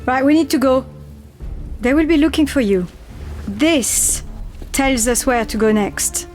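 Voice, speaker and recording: A practical female Resistance fighter in a war game. Her voice is on the high side, brisk and quietly commanding. Some music sits behind her. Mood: brisk, urgent. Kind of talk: video game cutscene acting.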